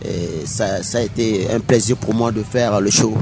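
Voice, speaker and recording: A man from Togo whose first language is Moba talks up his concert in a bright, promotional way, slipping into sung phrases now and then. He is recorded inside a nightclub, and loud music thumps behind him the whole time. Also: lowish voice, normal speed. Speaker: singer interviewed after a show. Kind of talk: casual interview at a concert venue.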